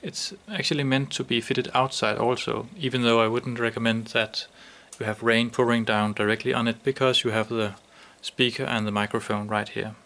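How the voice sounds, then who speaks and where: Gravelly man demonstrating a product. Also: medium pitch, fairly even intonation, normal speed, hardly any pauses, bright, forceful tone.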